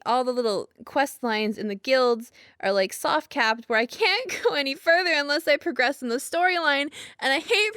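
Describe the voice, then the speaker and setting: A young woman with a fairly high voice speaks in a dry, close recording. She plays a girlfriend in an intimate, casual monologue. Her delivery rambles, giggly and self-deprecating, with a hesitant edge. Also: a bit bright, no echo. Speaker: audio roleplay performer as girlfriend.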